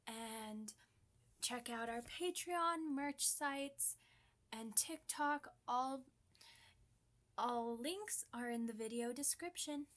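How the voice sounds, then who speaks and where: A woman's voice: a little high, slow, a bit bright. A woman hosting a channel, reading out a scripted announcement to the audience. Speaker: channel host.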